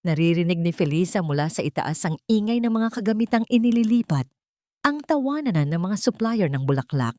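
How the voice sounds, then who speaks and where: A woman audiobook narrator reading a dramatic scripted story in a solemn, measured storytelling manner. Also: mid-range voice, expressive, mellow, dry recording.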